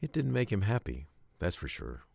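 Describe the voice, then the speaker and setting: A man narrating a children's story from a script, with expressive, emphatic phrasing and short pauses. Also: fairly low pitch, gravelly, no echo, clean studio sound.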